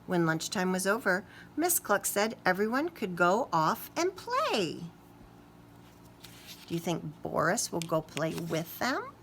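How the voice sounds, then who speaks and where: A woman reads a picture book aloud for small children. Her voice is bright and she takes her time, telling it expressively and turning to ask her young listeners questions. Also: on the low side, big pitch swings, takes it slowly, slight background noise. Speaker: children's story reader.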